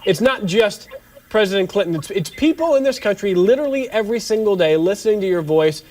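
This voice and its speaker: A man's voice: sits high, expressive, darkish tone, a little hiss or hum. A man hosting a morning news show, upbeat and effusive as he interviews a guest live. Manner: upbeat, effusive, quick to laugh.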